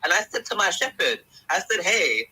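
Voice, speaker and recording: Husky, bright young man. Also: high voice, normal speed, low-quality recording. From England. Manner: animated storyteller who acts out quotes. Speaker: guest. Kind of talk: casual podcast testimony.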